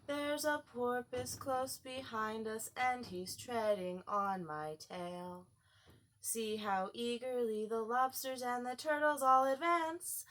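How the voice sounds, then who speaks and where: A woman's voice: fairly high pitch, takes it slowly, a bit bright, voice pushed up. A woman voicing a mournful, sentimental turtle-like creature in a dramatic, lamenting storybook manner. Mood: singing slowly.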